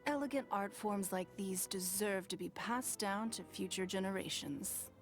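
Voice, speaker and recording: A woman's voice: mid-range voice, soft background music, natural voice. Refined, poised game lady, acted by a woman. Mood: composed, gracious. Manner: poised, measured, gracious. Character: refined, composed wealthy lady patron, game character.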